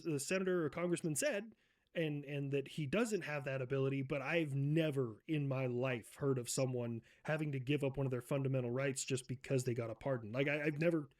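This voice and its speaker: Man for comic roleplay banter: a sarcastic, profane ranter in a put-on accent, pitched a bit high. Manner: putting on an accent.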